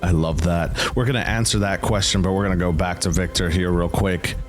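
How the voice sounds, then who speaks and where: Deep-voiced male game master over music. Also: fairly even intonation, fairly quick. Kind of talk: narrating an improvised tabletop game. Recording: music playing behind the voice. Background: clearly audible music.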